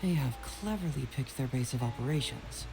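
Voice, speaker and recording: A man voicing a young, confident, shrewd detective in a video game, with a casual, smooth, teasing manner. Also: mid-range voice, lively intonation, moderate pace, natural voice. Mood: polite, breezy. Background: game music.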